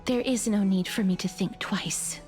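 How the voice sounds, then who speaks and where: A solemn heroine from a fantasy video game, played by a woman, with a medium-pitched voice. She is earnest, resolute and protective, keeping her words formal and restrained over faint music. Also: normal speed, darkish tone, close to an everyday voice. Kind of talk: dramatic video game voice acting.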